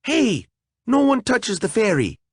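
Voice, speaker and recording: A man voicing a vain, petulant tiny female fairy with a whiny, high, squealing voice. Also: wildly swooping pitch, moderate pace, slightly rough, no room sound, clean studio sound, exaggerated voice. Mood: gleeful.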